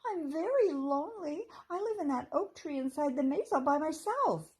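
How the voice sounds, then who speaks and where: A woman voicing a shy, lonely little male squirrel who talks in a squeaky voice. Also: animated, normal speed, a little mellow.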